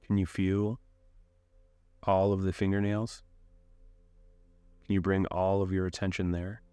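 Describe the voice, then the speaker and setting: A man leading a guided meditation in a calm, unhurried way, giving gentle instructions with long pauses. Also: low voice, clean tone, no echo.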